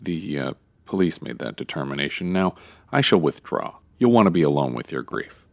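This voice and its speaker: A low, creaky voice from an actor playing an unctuous undertaker. The manner is solemn and formal, with lugubrious, hesitant phrasing suited to a comic radio play. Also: big pitch swings, normal speed, full character voice. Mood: awkward, solemn. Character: solemn, unctuous funeral parlor attendant. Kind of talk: comedy audio drama acting.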